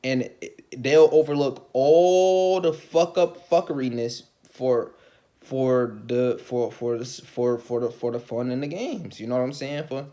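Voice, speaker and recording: A man's voice: mid-range voice, a little slow. Rambling, cursing man ranting to camera. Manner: swearing, rambling, repeats himself, rises to loud excited outbursts; calls his own voice light. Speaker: vlogger. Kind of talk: casual rant to camera.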